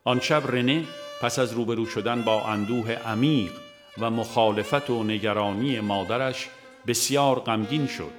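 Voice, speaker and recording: A man with an Iranian Persian accent reads a religious audiobook in a measured, formal, literary manner. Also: medium pitch. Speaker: audiobook narrator. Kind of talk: scripted narration of a religious book.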